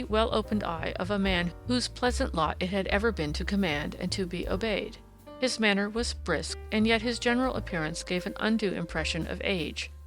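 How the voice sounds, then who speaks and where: A woman's voice: mid-range voice, poor audio. A woman reading classic fiction aloud as scripted audiobook narration.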